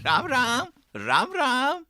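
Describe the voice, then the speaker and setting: A woman's voice: high-pitched, wildly swooping pitch, slow pace, very noisy, exaggerated voice. A woman playing an oily, mocking old moneylender father in a radio drama, with sing-song wheedling and chuckles. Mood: smug.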